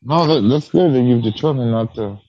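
A man calling in to a stream, calm and reassuring in casual chat. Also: medium pitch, fairly quick, dull audio, slight background noise. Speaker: caller.

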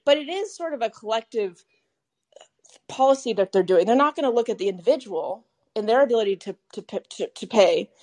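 A woman's voice: a little high, expressive, brisk, a little mellow, no echo. A woman hosting a podcast, talking in a casual, rambling way with many restarts and fillers. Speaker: podcast host. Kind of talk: casual political chat.

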